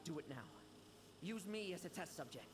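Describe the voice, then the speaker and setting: An actor playing a cold, ruthless schemer who gives clipped, commanding orders. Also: male voice, fairly high pitch, animated, normal speed, over music, natural voice. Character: cold, ruthless male schemer chasing power. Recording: game audio with background noise.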